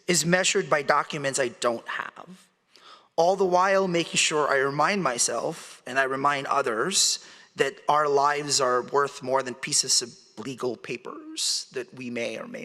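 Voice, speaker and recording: A man's voice: mid-range voice, pushed and bright. A man in his forties, born in the Philippines, giving a conversational public lecture and often asking the audience questions. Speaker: guest speaker. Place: large hall. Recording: long hall reverb.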